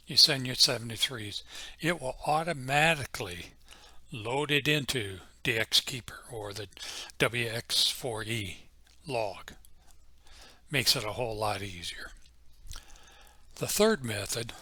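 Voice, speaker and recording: Bright, forceful man giving a software tutorial. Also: mid-range voice, dry recording. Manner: methodical step-by-step explaining. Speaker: tutorial presenter, amateur radio hobbyist. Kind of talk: informal software walkthrough, unscripted explanation.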